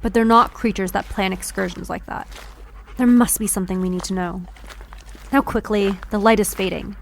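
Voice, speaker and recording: A woman's voice: medium pitch, steady tone, moderate pace, gravelly, slight background noise, close to an everyday voice. A woman playing a blunt, weary half-human park guardian in an audio drama. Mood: grave, urgent.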